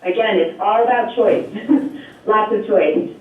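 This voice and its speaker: Loud, chatty woman on a tinny line. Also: mid-range voice, slow. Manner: chatty, many fillers, light laughs. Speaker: program presenter at a municipal board meeting. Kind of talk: explaining and taking questions. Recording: echoey, distant, tinny phone-like line.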